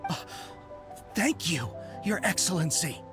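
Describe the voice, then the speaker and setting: A man's voice: a little high, very animated, unhurried, over music. A man voicing a humble, dutiful soldier in a video game, speaking with respectful, earnest deference. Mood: grateful, eager. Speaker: game voice actor. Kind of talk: scripted anime-style video game dialogue.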